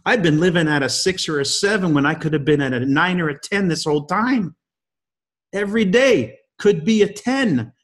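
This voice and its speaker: Fiery motivational man in his fifties. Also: sits high. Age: 50s. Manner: emphatic, punchy rhetorical questions. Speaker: guest host. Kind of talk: motivational talk on a leadership call.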